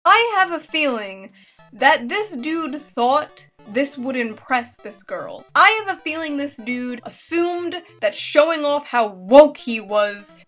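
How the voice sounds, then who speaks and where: Female commentary host, high and bright, mocking, casual, animated delivery. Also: slow pace, faint music behind. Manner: mocking, expressive, swears freely. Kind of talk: casual, sarcastic reaction commentary.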